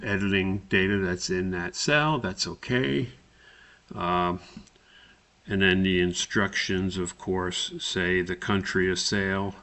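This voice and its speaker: Slow, low-voiced man with gravelly creak. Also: fairly bright tone. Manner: halting, thinking aloud step by step. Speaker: tutorial presenter. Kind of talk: informal software screencast walkthrough.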